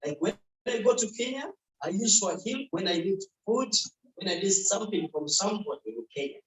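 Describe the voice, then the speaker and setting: A man's voice: high voice, lively intonation, brisk, a little hiss or hum. A middle-aged Ugandan man, speaking earnestly in short phrases as a community speaker at a public event. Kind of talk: unscripted public talk. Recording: roomy sound picked up from far off.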